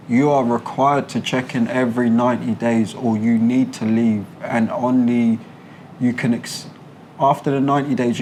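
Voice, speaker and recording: A man's voice: mid-range voice, a little mellow. Slangy London man, faint background noise. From London, England. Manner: colloquial, slangy, explanatory. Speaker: interviewee, British expat. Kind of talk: candid conversational interview. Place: a condo apartment.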